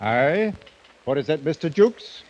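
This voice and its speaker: An actor playing a stolid, literal-minded sea captain with a hesitant, matter-of-fact manner in a 1940s radio drama. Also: male voice, fairly high pitch, sing-song, measured pace, dull audio. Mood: mild, puzzled. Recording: noisy old radio recording.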